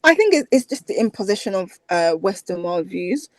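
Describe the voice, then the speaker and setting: British woman guest chatting about language, lively, dry close sound. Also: mid-range voice, a little fast, no echo. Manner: animated, repeats words for emphasis. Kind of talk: conversational interview.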